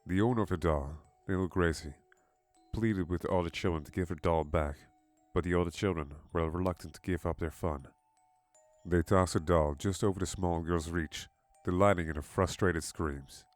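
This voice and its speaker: A man's voice: low-pitched, fairly even intonation, moderate pace, no room sound, faint music behind. A man reading a scripted horror story as its narrator.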